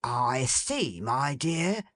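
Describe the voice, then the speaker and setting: A woman reading the part of Trotty, an excitable, fond old man, in a lowered, bustling voice. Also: extremely slow, dry recording, exaggerated voice.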